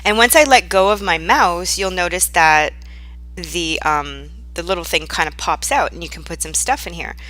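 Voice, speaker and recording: A woman presenting a software tutorial in an informal, conversational way, checking in with the listener as she guides them step by step. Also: on the low side, moderate pace. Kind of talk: casual screen-share software tutorial.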